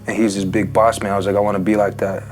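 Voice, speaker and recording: Young man, 19, low monotone, casual halting testimony, music underneath. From Ukraine. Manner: halting, filler-heavy speech with many restarts. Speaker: interview guest sharing testimony.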